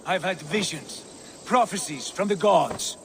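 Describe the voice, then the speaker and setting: An actor playing a proud, zealous Viking jarl in a video game, with a grandiose, declamatory delivery. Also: male voice, sits high, lively intonation, a little slow, gravelly, a little hiss or hum, exaggerated voice. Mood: fervent. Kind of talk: video game cutscene acting.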